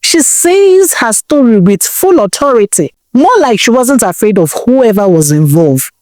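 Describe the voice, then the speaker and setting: A woman telling a village folktale in a dramatic, expressive way, now and then asking the listener questions. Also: fairly high pitch, measured pace, no echo, studio quality. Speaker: storyteller. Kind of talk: folktale storytelling narration.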